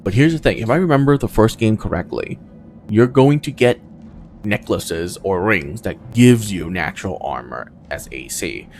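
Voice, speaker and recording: A man with a low, gravelly voice talks casually through a video game, thinking out loud. His pitch moves around a lot as he deliberates. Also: animated, slight background noise. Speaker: gamer commenting on own playthrough. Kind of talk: casual gameplay commentary.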